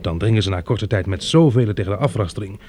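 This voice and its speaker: An actor playing a level-headed, pragmatic man, a wary and protective disaster survivor in a Dutch radio drama, with a gravelly, husky voice. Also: fairly low pitch, fast, dark tone, slight background noise, lightly acted. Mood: wary, matter-of-fact.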